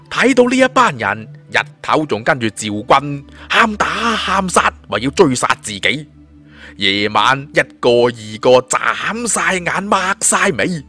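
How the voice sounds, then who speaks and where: A man's voice: mid-range voice, pushed and bright. A man with a Cantonese accent narrating a fantasy audiobook in a colloquial, dramatic storyteller's manner.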